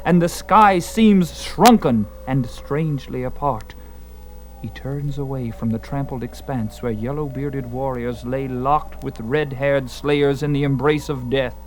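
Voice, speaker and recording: A man narrating a scripted fantasy tale in a theatrical, intense manner with deliberate phrasing. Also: mid-range voice, poor audio. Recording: music under the voice.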